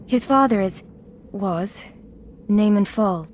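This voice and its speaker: A timid young woman, played by an actress, speaks in an earnest, hesitant way and breaks off mid-phrase. Her voice sits in a middle pitch, and faint noise hangs behind it in this game scene. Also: natural voice. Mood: sad. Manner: hesitant, pleading, with halting restarts. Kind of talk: video game voice acting.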